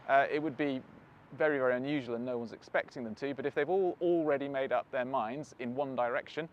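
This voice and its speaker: A man's voice: medium pitch, fast talker, muffled recording. A British man hosting, chatting casually and self-deprecatingly outdoors while waiting for a bus. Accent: British English. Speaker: host. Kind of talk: casual interview and vlog wrap-up.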